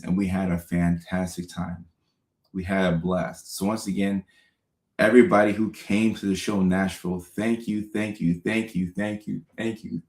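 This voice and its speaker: A man in his thirties hosts a relaxed livestream, reading out viewer comments and giving upbeat, repeated thanks. His voice sits on the low side. The room rings with noticeable echo. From Ghana. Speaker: comedian and show host. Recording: roomy echo.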